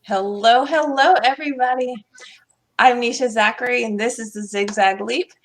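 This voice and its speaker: A woman's voice: fairly high pitch, normal speed. A woman hosting an interview podcast in an upbeat, encouraging way over a video call. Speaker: podcast host.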